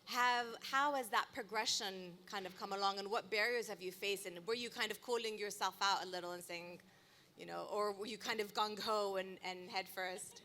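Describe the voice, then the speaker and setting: Woman panel moderator, bright forceful voice, inquisitive questions. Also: medium pitch, normal speed. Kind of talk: live panel discussion. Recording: faint noise, live event ambience.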